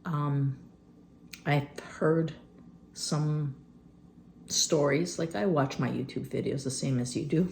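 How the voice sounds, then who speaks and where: A woman in her fifties talking candidly and unscripted in a vlog, rambling with many fillers and a phlegmy throat she keeps clearing. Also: deep voice, darkish tone, a little hiss or hum. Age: 50s. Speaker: vlogger. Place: rural homestead.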